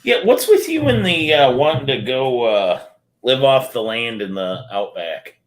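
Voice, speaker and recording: A man's voice: mid-range voice, sing-song, a little slow. A man on a panel chat, telling a laid-back story. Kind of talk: casual chat. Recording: roomy echo.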